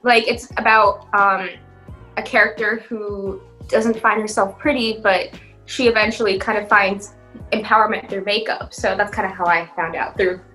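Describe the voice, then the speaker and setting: A young adult woman co-hosting a casual podcast, telling relatable stories to friends in an animated way. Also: medium pitch, moderate pace, soft background music, low-quality recording. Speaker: podcast co-host. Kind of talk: casual chat among friends.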